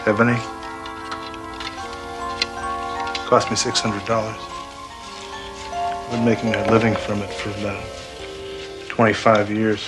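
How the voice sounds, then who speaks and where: An actor playing a calm, needling older master pool player in an American accent, speaking in a measured, deliberate way for a television drama. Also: male voice, lowish voice, lightly acted. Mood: calm, proud. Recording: television soundtrack with music behind.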